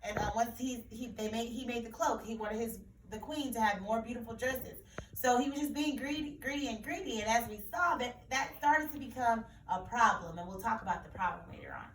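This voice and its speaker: A woman's voice: on the high side, a little fast. A woman teacher explaining a story to children in a read-aloud lesson, repeating key phrases as she goes. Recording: roomy echo, distant mic, faint noise.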